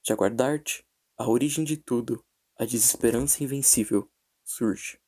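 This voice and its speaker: A Brazilian man narrating a scripted horror analysis in a measured, dramatic way with deliberate pauses. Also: medium pitch, a little mellow. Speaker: narrator and video essayist. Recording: background music under the voice. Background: music.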